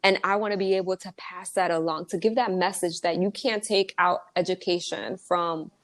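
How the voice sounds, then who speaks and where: A woman's voice: fairly low pitch, fairly even intonation, moderate pace. A woman from the Dominican Republic, an author and entrepreneur guest, speaking earnestly and with motivational emphasis in casual interview talk.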